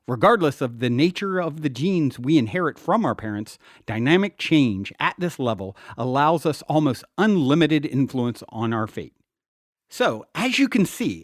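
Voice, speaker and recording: A man narrates a nonfiction audiobook in a mid-pitched, very animated voice. His delivery is expressive and explanatory, with a wry touch. The recording is close and dry. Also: no echo. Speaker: author and podcast host reading his own book.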